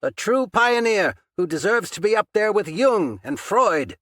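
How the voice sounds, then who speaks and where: A man's voice: high voice, big pitch swings, measured pace, fairly bright tone, no room sound, pitched above the performer's own voice, full character voice. Man doing a gloating audiobook villain: raised, grand, taunting, dry studio read. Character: menacing, pompous male villain, gloating and cold.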